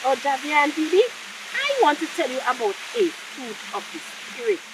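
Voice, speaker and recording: A woman's voice: high-pitched, big pitch swings, moderate pace. A woman hosting a children's story time, coaxing and questioning young listeners, recorded outdoors. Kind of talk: animated storytelling chat with children. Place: outdoors by a big tree. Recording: hissy, very noisy outdoor recording.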